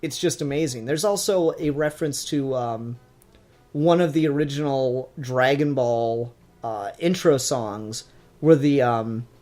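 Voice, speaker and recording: A man's voice: mid-range voice, a little slow, darkish tone, soft background music. A man co-hosting a podcast, chatting casually and enthusiastically as a fan reviewer, with plenty of swearing.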